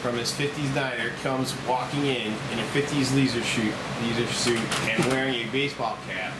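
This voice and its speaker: Male script narrator in loud hiss. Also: mid-range voice, fairly even intonation, moderate pace. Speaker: stage direction narrator. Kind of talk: scripted narration at a table read. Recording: echoey room, loud background hiss.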